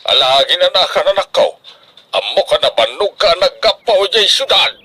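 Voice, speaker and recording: A devoted farmer father in a radio drama. His voice is very bright and forceful, swinging widely in pitch, with a proud, emphatic, theatrical delivery. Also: lively intonation, moderate pace. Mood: tender, reassuring.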